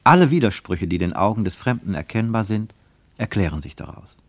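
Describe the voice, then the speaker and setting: Animated male narrator, dry close sound. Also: on the low side, a little fast, no echo. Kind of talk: scripted literary radio narration.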